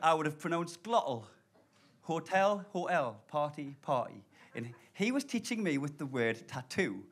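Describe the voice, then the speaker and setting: A man in his thirties from Blyth with a Geordie accent, doing an animated, sweary stand-up set live on stage. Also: fairly high pitch, a little slow, slightly rough. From Blyth, northeast England. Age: 30s. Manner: animated, swearing, laughing storyteller. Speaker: stand-up comedian. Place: live comedy club. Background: audience laughter.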